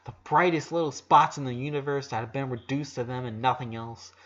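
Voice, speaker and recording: A man's voice: medium pitch, a little fast, a bit bright. A man narrates a dramatic story in a mid-range voice. His delivery is emotive and now and then he stumbles and restarts a word. The recording is a little dull and lo-fi. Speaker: narrator reading fan fiction aloud.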